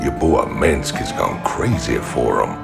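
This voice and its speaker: Swaggering outlaw convict for a game: drawl, bottomless gravel, music underneath. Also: male voice, lively intonation, measured pace, exaggerated voice. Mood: sly. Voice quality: gravelly, very deep. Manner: drawling, folksy, taunting. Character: big gruff swaggering male outlaw convict.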